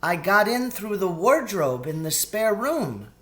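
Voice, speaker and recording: A man reads as an innocent little girl in a children's storybook, lifting his pitch. The girl is polite and a little puzzled, with lively intonation. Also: a little slow, heavily acted. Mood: puzzled, amused.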